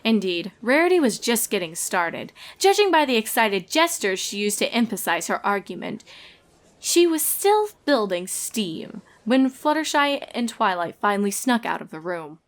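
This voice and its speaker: A woman's voice: mid-range voice, very animated, moderate pace. A woman reading a fan fiction story aloud as narrator, in a scripted storytelling style.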